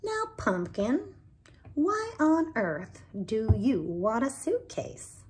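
A woman's voice: a little high, wildly swooping pitch, drawn-out words, darkish tone, full character voice. A woman voicing a kindly old lady. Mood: coaxing. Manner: coaxing, affectionate.

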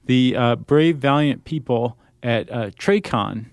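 A man's voice: mid-range voice, slow, no echo, clean studio sound. An American man co-hosting a podcast in casual, conversational style. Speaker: podcast co-host.

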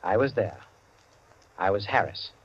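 An actor playing an enigmatic, self-possessed gentleman in 1940s radio drama, terse, sly and courteous. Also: lowish voice, animated, measured pace, husky, heavily acted. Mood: cool, sly. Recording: muffled, noisy 1940s radio recording.

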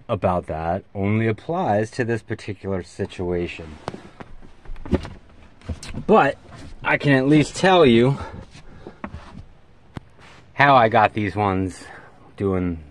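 An American man in his forties explaining things in a casual, informal vlog style, with emphatic, halting phrasing. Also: medium pitch. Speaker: radio hobbyist video host. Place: inside a parked SUV.

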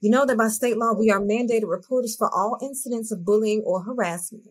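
A middle-aged woman playing a diplomatic but firm school principal in a dramatized meeting, speaking in a measured way on a video call. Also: a little high, husky. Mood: firm, reasoning.

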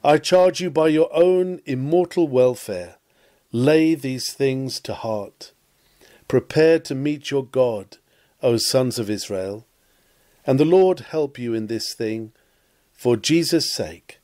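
Male sermon reader, slow and deliberate, mid-range pitch, solemn and exhorting delivery of Victorian preaching. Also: lively intonation, mellow. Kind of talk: dramatic reading of a Victorian sermon.

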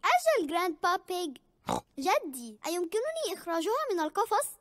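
A very high, lively little girl pig, cheerful and curious. She speaks with childlike eagerness in a preschool cartoon. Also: a little fast, dry recording, studio quality, full character voice. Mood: eager. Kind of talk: Arabic-dubbed preschool cartoon.

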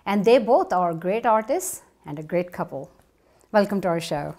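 Middle-aged lady host, eager, lots of quick interjections, lapel mic in a living room. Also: medium pitch, lively intonation, darkish tone.

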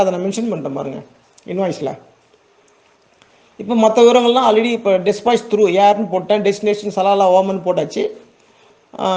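A man's voice: sits high, mellow. A man with a Tamil Nadu accent giving an informal step-by-step tutorial, conversational, checking in with listeners and repeating words for emphasis. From Tamil Nadu, India. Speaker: export business owner giving a tutorial.

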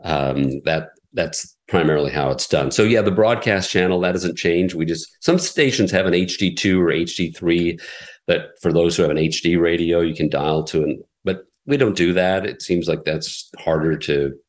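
Deep-voiced man explaining radio plainly. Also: normal speed. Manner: relaxed, explanatory, repeats words as he thinks. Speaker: radio station president, guest. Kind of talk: conversational interview answers.

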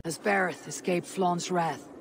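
Woman playing a deep, stern Viking warrior woman. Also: steady tone, unhurried, noisy background, lightly acted. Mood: brusque. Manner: terse, resolute. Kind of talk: video game cutscene acting.

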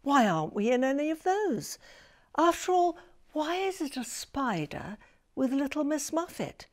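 A woman's voice: sits high, very animated, unhurried, pitched above the performer's own voice. A woman voicing a feisty, gloomy little male earwig in an emphatic, theatrical storybook manner. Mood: aggrieved.

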